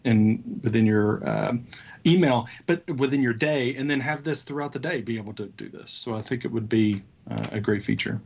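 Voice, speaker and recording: A man co-hosting a tech talk show, chatting casually and haltingly, rephrasing as he goes. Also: mid-range voice, gravelly, a little mellow, highs cut off. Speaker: show co-host. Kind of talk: casual conversation.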